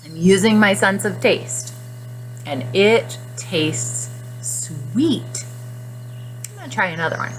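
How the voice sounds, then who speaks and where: A woman teacher speaking to young children in simple, expressive phrases during a guided reading lesson. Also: medium pitch, takes it slowly, pauses often, slight background noise, low-quality recording. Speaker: classroom nutrition teacher.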